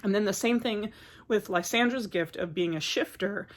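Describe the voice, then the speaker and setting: A woman's voice: mid-range voice, normal speed. A woman getting over a cold, chatting casually about books in a vlog, rambling with many filler words. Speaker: book vlogger. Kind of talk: casual book review vlog.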